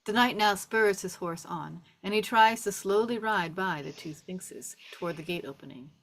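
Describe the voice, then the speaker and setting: A woman's voice: medium pitch, moderate pace. A woman narrator reading scene descriptions and stage directions aloud at a script table read.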